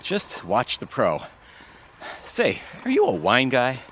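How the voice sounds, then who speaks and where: A man's voice: mid-range voice, huge pitch swings, takes it slowly, a bit bright, slight background noise, heavily acted. A man playing a flippant, eager retired husband in audio theatre, with a glib, teasing, jaunty manner. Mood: jovial, cocky.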